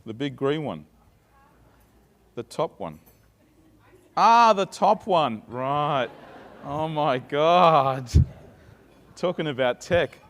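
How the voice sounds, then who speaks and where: A man's voice: a little high, lively intonation, very slow, long pauses, fairly bright tone. A middle-aged man with an Australian accent, giving a chatty conference talk full of fillers and restarts. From Sydney, Australia. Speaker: conference speaker. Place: conference stage. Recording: reverberant conference hall.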